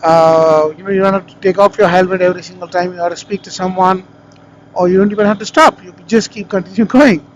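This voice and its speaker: A man with an Indian accent and a high-pitched voice talks through a gear review. His delivery is casual and conversational, wandering from point to point. He is recorded on a helmet intercom mic, with vehicle noise faintly audible behind him. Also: steady tone, quick pace, muffled recording. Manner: rambling, conversational. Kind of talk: casual spoken product review. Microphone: helmet intercom microphone.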